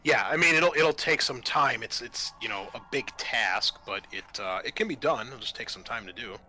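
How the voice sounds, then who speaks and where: Bright-voiced man running a tabletop game. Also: medium pitch, expressive, normal speed. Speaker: game master. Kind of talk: casual tabletop game narration.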